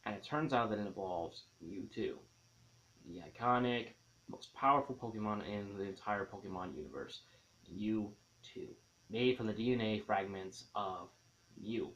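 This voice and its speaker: A man's voice: on the low side, normal speed, a bit bright. A man hosting an enthusiastic movie review, leaning on key words with dramatic, emphatic stresses. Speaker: film reviewer and host. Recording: dull, lo-fi sound.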